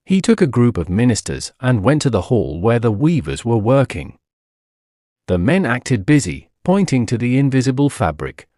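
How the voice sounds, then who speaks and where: A male audiobook narrator tells a story for language learners at an unhurried pace. His delivery is expressive, with lively rises and falls in pitch. The sound is dry and close, with each phrase clearly set out. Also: dry recording.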